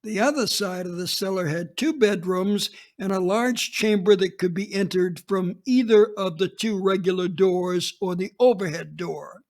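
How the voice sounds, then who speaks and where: A man narrating a hard-boiled detective story in the first person, gritty and deliberate. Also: sits high, darkish tone.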